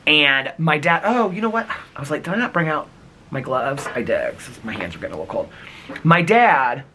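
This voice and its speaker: A man vlogging outdoors in wind and snow, talking casually in a rambling, giggly way with a husky, crackly voice. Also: fairly high pitch, moderate pace. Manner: rambling, giggly, animated storytelling. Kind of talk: casual chatty vlog. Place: outdoors in windy snowy weather.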